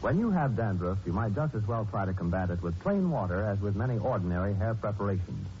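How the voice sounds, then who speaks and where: An actor as the host and narrator of a 1940s radio mystery, speaking with dramatic, emphatic delivery. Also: male voice, lowish voice, sing-song, quick pace, darkish tone, slight background noise. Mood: persuasive. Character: ominous, taunting male radio mystery narrator. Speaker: host, narrator and product announcer. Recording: muffled 1940s radio recording with music.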